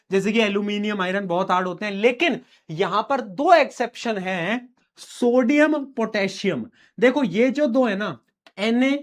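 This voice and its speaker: A young man teaching a live online lecture, casual and teasing, his voice a little rough from a sore throat and a cough. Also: high-pitched. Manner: informal, teasing, addresses students as beta.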